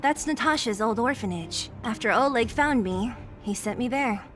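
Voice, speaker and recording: A woman's voice: fairly high pitch, lively intonation, moderate pace, fairly bright tone, faint music behind. An actress voicing a brash, headstrong young woman fighter in a video game, talking with cocky impatience. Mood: determined. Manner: cocky, dismissive of rules.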